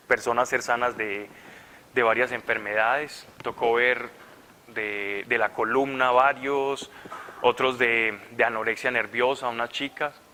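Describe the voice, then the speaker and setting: Forceful man preaching in an echoey hall. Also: medium pitch. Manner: animated, conversational, laughing at his own stories. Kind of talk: sermon with storytelling. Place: large hall at a retreat.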